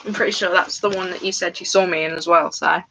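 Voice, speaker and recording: A woman's voice: on the low side, steady tone, fast, creaky, background noise. A woman chatting casually on a live stream while doing crafts at home. She rambles and talks straight to the viewers in her chat. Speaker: crafts livestreamer. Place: at home, indoors.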